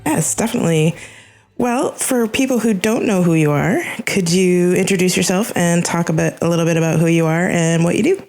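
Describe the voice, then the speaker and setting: A woman's voice: lowish voice, fairly quick, darkish tone, soft background music. A woman hosting a podcast interview in a conversational style.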